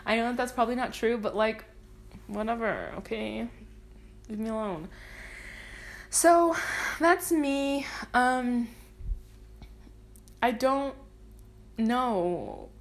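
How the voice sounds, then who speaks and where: A woman's voice: fairly high pitch, expressive, takes it slowly, long pauses. A woman in her twenties whose first language is Spanish, hosting a solo podcast in a rambling, self-deprecating way, swearing freely and drifting into tangents. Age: 20s. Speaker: podcast host. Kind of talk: casual solo podcast monologue.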